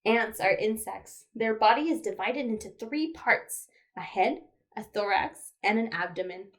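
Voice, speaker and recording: A woman's voice: mid-range voice, expressive, measured pace. A woman leading a toddler storytime as a children's librarian, speaking simply to small children and reading aloud with questions and playful touches. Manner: simple child-directed delivery with playful animal sounds.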